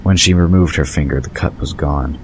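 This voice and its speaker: A man's voice: rumbling low, brisk, a little hiss or hum. A man reading scripted narration for an audio drama.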